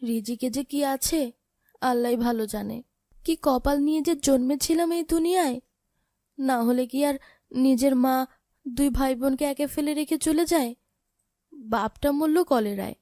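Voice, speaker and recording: Woman doing poor orphan big sister in a cartoon, high mellow voice, sad and caring, resigned. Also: no echo, studio quality. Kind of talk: cartoon drama voice acting.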